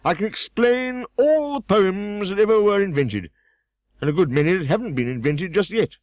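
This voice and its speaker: A man's voice: high-pitched, animated, moderate pace, dry recording, pitched above the performer's own voice. A man voicing a pompous, easily offended egg who sits on a wall and boasts grandly. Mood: lecturing.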